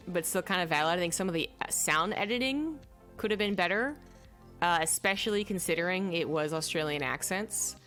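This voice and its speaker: This is a young woman with a low-pitched voice giving a casual spoken film review. She rambles, fills gaps with "like" and "um," and stops to search aloud for names. Faint music plays behind her. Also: a bit bright. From native English speaker. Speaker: vlogger reviewing films.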